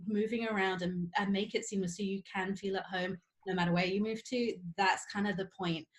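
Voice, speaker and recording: A woman's voice: mid-range voice, steady tone, a little fast. A woman hosting an interview in a casual, informal way, sprinkling in "like" and "sort of". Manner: informal, filler-heavy phrasing with "like" and "sort of". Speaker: host.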